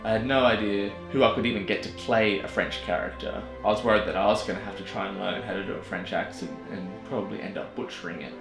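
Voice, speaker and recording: A young Australian man chatting casually as a filmmaker, self-deprecating and quick to laugh. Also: lowish voice, gravelly. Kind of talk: casual vlog narration. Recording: echoey room with music under it.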